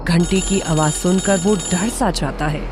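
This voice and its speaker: A woman narrator reading a scripted animated horror story. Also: on the low side, a little slow, music in the background.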